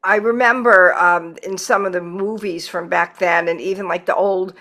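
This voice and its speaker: A woman's voice: fairly low pitch, fairly even intonation, normal speed, bright, forceful tone. A woman hosting a podcast over a video call, chatting casually and gushing with enthusiasm. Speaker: podcast host. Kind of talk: casual interview chat.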